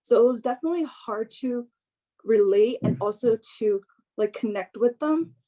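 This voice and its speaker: A young woman giving an informal personal talk in a hesitant, halting way, often filling in with "like". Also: fairly high pitch, animated, mellow, low-quality recording. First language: English. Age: young adult.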